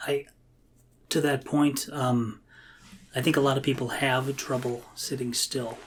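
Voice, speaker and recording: A man's voice: medium pitch, flat delivery, a little slow, slight background noise. A man chatting casually and reflectively in a podcast conversation. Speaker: IT worker.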